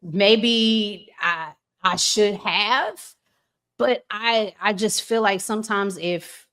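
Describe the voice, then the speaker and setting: A woman with an American accent talking reflectively as a podcast co-host, deliberately and with pauses between phrases. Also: mid-range voice, fairly bright tone. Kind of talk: reflective conversational discussion.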